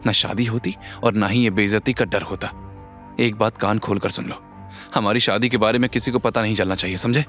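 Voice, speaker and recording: A man voicing a proud, hot-tempered young businesswoman in an audio drama, indignant and sharp-tongued. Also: on the low side, steady tone, brisk, soft background music, lightly acted. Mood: angry. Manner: indignant, sharp-tongued, mixes English into Hindi. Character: fiery, status-proud young businesswoman, scolding her husband.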